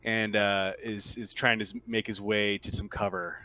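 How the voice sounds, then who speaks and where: A man running a tabletop roleplaying game, describing the action in a halting, matter-of-fact way. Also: lowish voice, little pitch movement, sharp tone, clear, resonant tone. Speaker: game master.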